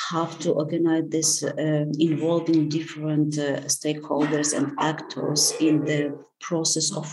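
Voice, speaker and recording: A woman with a Ukrainian accent answering interview questions about her school, thoughtful and halting, often restarting phrases. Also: sits low, darkish tone, slight background noise, poor audio. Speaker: architecture school head.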